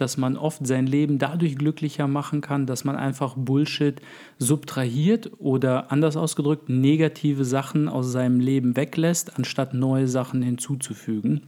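Slightly hoarse male podcast host, mellow tone, thoughtful and rambling. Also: mid-range voice. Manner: thoughtful, explains at length with many fillers. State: under the weather, voice a bit hoarse. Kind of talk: casual podcast chat.

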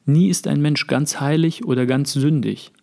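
A man reading aloud as a wise, calm sage explaining his thoughts to a friend, in a measured, earnest way. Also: fairly high pitch, gravelly. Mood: contemplative. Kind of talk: read-aloud literary dialogue.

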